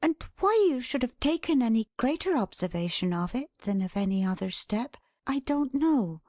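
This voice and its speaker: A woman voicing an excitable, affectionate, flustered young wife in a storybook reading, breathless and emphatic. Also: fairly high pitch, normal speed, no room sound, clean studio sound, voice pushed up. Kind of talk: dramatised classic storybook reading.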